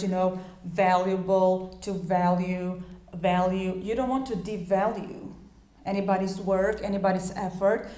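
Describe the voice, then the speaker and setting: A woman's voice: medium pitch, darkish tone. Croatian-native woman teaching english, chatty, lots of ums and restarts, mid voice, kinda echoey room. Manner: chatty, many fillers and restarts. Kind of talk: informal spoken lesson.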